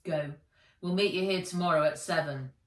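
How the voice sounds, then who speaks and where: A woman's voice: fairly low pitch, normal speed, close to an everyday voice. A woman voicing a tired, sullen, prickly boy in a children's storybook reading. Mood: curt. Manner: curt, sullen. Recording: roomy, distant from the mic.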